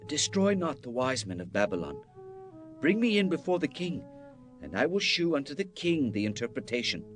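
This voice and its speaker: A man playing a devout Hebrew prophet in a dramatized scripture reading, solemn, fervent and declamatory, with dramatic pauses. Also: mid-range voice, sing-song, faint music behind, close to an everyday voice. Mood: urgent, resolute.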